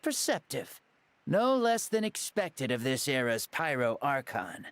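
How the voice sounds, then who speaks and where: A man's voice: medium pitch, very animated, measured pace, fairly bright tone, smooth, no echo. A man voicing a confident, wry boyish youth in a video game, self-possessed in manner. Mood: self-assured.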